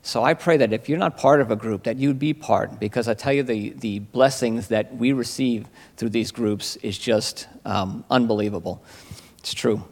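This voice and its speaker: Man, mid pitch, gravelly edge, sincere grateful church testimony, roomy sanctuary reverb. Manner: heartfelt, grateful, a little halting with fillers. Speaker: church member giving testimony. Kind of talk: spoken testimony to a congregation.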